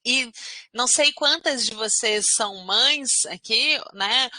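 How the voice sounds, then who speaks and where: A woman's voice: on the high side, slow, sharp tone, no echo. A woman in her thirties from Minas Gerais with a Belo Horizonte accent, a university professor giving an online class from home over a video call, conversational and dotting her sentences with "né?". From Minas Gerais, Brazil. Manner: conversational, frequent "né?" tags.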